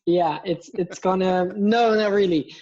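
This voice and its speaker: A man's voice: high voice, steady tone, a little slow, a little mellow. A man in his thirties from Belgium, a native Dutch speaker with a Belgian Dutch accent, talking enthusiastically as a guest over a video call. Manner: enthusiastic, talks with his hands. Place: home room with plants and posters. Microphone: headset headphones on video call. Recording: muffled lo-fi video call audio.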